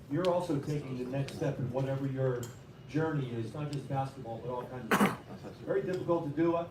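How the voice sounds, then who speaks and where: An upbeat man hosts a banquet, his voice a touch high. He sounds distant, his words bouncing around a roomy hall. A clear hum of noise runs beneath him. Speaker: master of ceremonies. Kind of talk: banquet speech. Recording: echoey hall, noisy, from far off.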